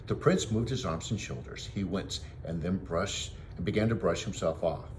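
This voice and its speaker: A man, a teacher, reading a children's storybook aloud in an expressive way. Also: deep voice, normal speed, a little hiss or hum.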